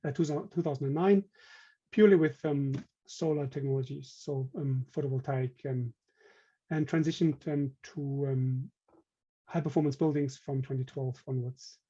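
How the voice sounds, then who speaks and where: A man's voice: medium pitch, fairly even intonation, moderate pace, warm tone, breathy edge, lo-fi sound. A man with a German accent giving a technical webinar presentation, speaking hesitantly with many fillers and restarts. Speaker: company director presenting.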